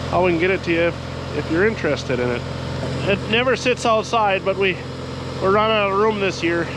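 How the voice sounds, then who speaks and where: A farmer with a stuffy head cold talks in a plain, rambling way, walking through his snow-clearing chores. His voice sits high for a man, and a steady engine and wind hum runs under everything. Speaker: farmer vlogger. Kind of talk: casual vlog narration while working. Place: outdoors and in a tractor cab on a farm.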